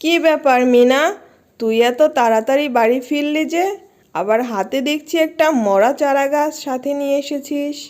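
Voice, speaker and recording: A woman's voice: sits high, moderate pace, a little mellow, close to an everyday voice. A woman voicing an exasperated but indulgent animal mother in a cartoon fairy tale, scolding yet giving in. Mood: scolding, questioning.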